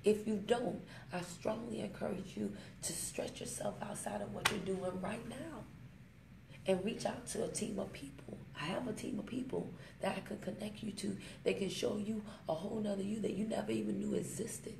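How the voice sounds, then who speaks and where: Emphatic woman with a gravelly, roomy sound. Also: medium pitch, steady tone. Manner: emphatic, preachy, fond of rhymes, slows down to stress points. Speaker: network marketing vlogger. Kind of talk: motivational vlog talk to camera. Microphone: smartphone. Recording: echoey room with faint ambient noise.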